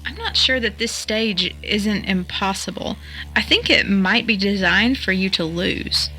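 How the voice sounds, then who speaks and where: Woman acting level-headed analytical woman, bright forward voice, articulate, matter-of-fact, audio drama, faint music behind. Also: medium pitch, a little slow, rough recording. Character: analytical, level-headed woman puzzling over a strange game.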